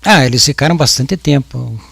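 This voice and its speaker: A man's voice: mid-range voice, expressive, normal speed, gravelly, a bit bright, breathy edge, no echo. Hesitant man in his fifties testifying. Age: 50s. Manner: hesitant, with many restarts and repeated words. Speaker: witness at a jury trial. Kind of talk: courtroom testimony.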